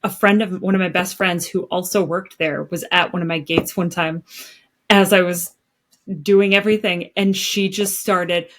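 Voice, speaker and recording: A woman from the United States co-hosting a podcast in casual chat, talking in a chatty, animated way with quick asides. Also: lowish voice, a little hiss or hum. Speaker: podcast co-host.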